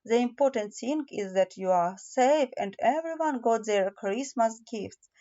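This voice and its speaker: A woman's voice: on the high side, animated, a little slow, warm tone, muffled recording. A woman voicing a caring mother animal in a storybook, tender and reassuring.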